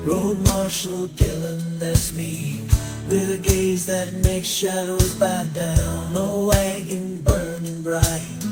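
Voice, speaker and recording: Very slow female western ballad singer. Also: mid-range voice. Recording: echoey song with loud music.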